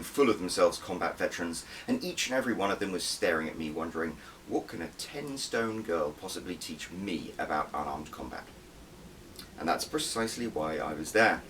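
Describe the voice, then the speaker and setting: A man's voice: sits low, a bit bright, far from the mic, a little hiss or hum, poor audio. A man reading his own story aloud as an audiobook, with a wry, conversational manner and dramatic emphasis. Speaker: author reading own book. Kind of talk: first-person audiobook narration.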